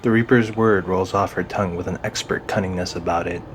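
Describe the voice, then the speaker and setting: Low-voiced man narrating a fantasy drama. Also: steady tone, fairly quick, slight background noise. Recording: music behind the voice.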